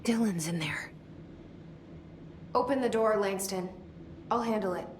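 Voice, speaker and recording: An actress playing a steady, guarded young woman agent with terse, level speech and muttered asides. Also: medium pitch, unhurried, close to an everyday voice. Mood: wry. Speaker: lead character searching for her brother. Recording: echoey game cutscene with faint hiss.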